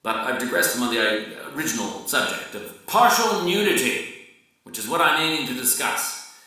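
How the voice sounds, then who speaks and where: A man giving a wry, theatrical dramatic reading of a novel's monologue at a live event, swinging from loud emphasis to hushed murmurs. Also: mid-range voice, sing-song, normal speed. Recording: very echoey room, distant mic.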